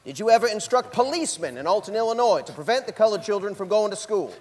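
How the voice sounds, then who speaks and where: A man's voice: high voice, lively intonation, fast, a little mellow. A man playing an attorney formally questioning a witness in a staged reading, recorded in a theater. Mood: formal, probing. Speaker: actor in a staged dramatic reading. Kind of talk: formal courtroom examination, read from script. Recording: echoey theater hall.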